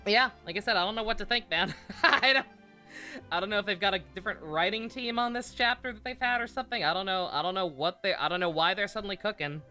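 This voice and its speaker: A bright, forceful man chats casually as a game streamer. He rambles with enthusiasm, and faint music plays behind him. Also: high voice, quick pace. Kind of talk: casual livestream commentary.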